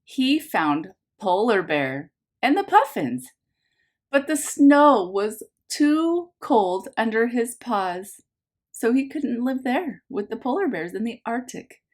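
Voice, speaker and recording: A woman's voice: fairly high pitch, slow. A woman teacher reading a picture book aloud to young children in a sing-song way, putting simple questions to them as she goes. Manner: animated, sing-song, simple phrasing addressed to small children.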